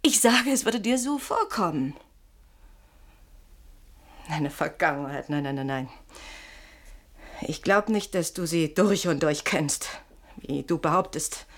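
An actress playing a sleepless, needling wife in a German radio play, probing with theatrical, ironic sweetness. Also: female voice, low-pitched, lively intonation, slow, pauses often, heavily acted.

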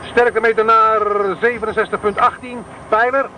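An actor playing a dry, mocking radio-detection operator reading out meter numbers in a radio play. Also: male voice, sits high, level tone, normal speed, pushed and bright, slight background noise. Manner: dry, matter-of-fact, mocking.

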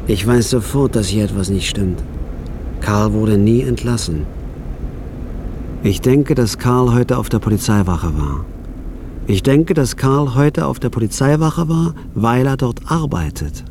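A man's voice: medium pitch, lively intonation, a little slow, background noise. An actor playing a cool, hard-boiled hitman who narrates in the first person in a radio drama. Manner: dry, cool, sardonic.

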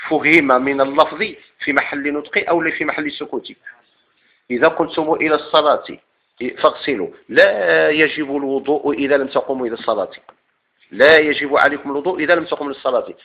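Moroccan male teacher, classroom lecture, quick patter of questions and answers, dull lo-fi recording. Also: a little high. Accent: Moroccan Arabic. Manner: quizzes students with repeated questions and answers. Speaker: teacher of Islamic legal theory.

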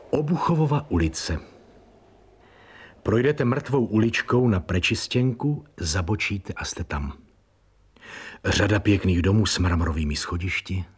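Deep-voiced, musing writer. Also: male voice, husky, heavily acted. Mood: reminiscing. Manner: muttering, musing, reading in fragments with asides. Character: wry, curious male writer, musing to himself over a letter. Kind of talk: radio drama monologue. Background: faint music.

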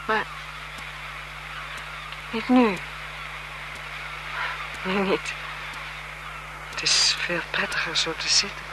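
A woman's voice: medium pitch, very slow, long pauses, noisy background. An actress playing a haughty married aristocratic lady, secretly seductive and evasive, in a poised, languid 1970s radio drama style. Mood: seductive, intimate.

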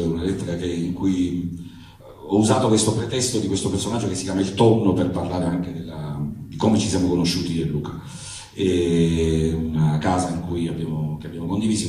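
A man with a low, mellow voice gives an informal introduction as an author. He gropes for words, and the sound is distant and swimming in room echo. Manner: hesitant, searching for words. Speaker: author introducing his own letter. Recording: very echoey hall, distant mic.

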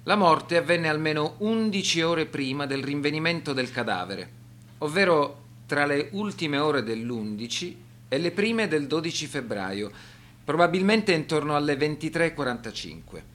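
A man reading scripted radio documentary narration in a measured, serious, formal manner. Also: a little high, sing-song, a bit bright.

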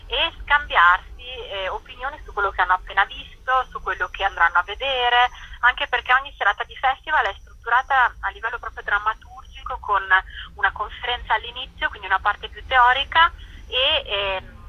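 A woman speaking as a festival director in a conversational interview, explaining things with halting, hesitant pauses. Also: a little high, pushed and bright, slightly rough, no echo, highs cut off.

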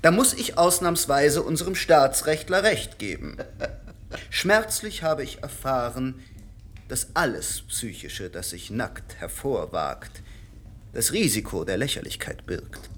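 An actor in a radio drama playing a vain, cultivated stage actor and director who speaks in polished, ironic aphorisms. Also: male voice, medium pitch, big pitch swings, slow pace, fairly bright tone, a little hiss or hum, exaggerated voice. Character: vain, cultivated man of the theatre, champion of artifice.

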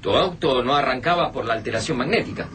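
Radio drama: male actor as resourceful, serious Argentine survivor, animated delivery, distant mic. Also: medium pitch, quick pace, close to an everyday voice. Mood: upbeat, practical. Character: Favalli, practical, resourceful, grave middle-class survivor friend. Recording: distant miking with clear background noise.

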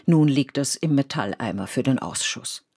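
Mid-pitched literary radio narrator. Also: lively intonation, darkish tone, breathy edge. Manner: measured, poetic storytelling.

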